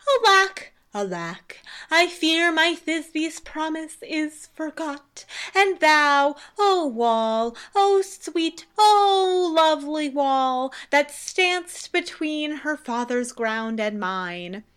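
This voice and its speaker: A woman's voice: high voice, a bit bright, full character voice. A woman playing a bombastic amateur tragedian as a lovesick young hero, wailing in overwrought declamation in a Shakespeare radio comedy.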